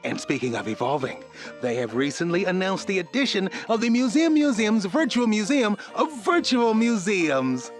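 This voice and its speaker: A man's voice: sits high, big pitch swings, moderate pace, faint music behind. A male actor playing a cheerful small-town tourism promoter, reading a scripted promotional narration in an upbeat, theatrical salesman's manner. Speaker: tourism board spokesman.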